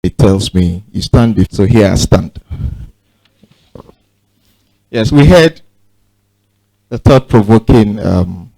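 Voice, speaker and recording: A man moderating a panel and inviting questions from the audience in a formal, measured way. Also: fairly low pitch, gravelly, mellow, no room sound, rough recording.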